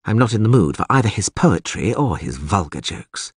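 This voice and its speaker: Male reader as peevish older priestess, sharp tongue, husky tone, highly animated storybook delivery. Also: moderate pace, dry recording, clean studio sound, heavily acted. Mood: peeved. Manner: scornful, sarcastic.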